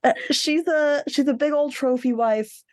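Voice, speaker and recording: A woman's voice: high voice, a little slow, a little mellow, no echo. A woman co-hosting a podcast, talking casually and bluntly about books with strong opinions. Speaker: podcast co-host. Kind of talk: casual book discussion.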